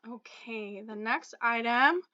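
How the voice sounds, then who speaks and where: A young woman vlogger, slightly raspy from a cold, chatting casually about her resale items with fillers and little laughs. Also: on the high side, takes it slowly, bright, forceful tone, no room sound, highs cut off. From Florida. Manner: chatty, friendly, many fillers and small laughs. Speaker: reseller vlogger. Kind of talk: casual talk to camera about sales.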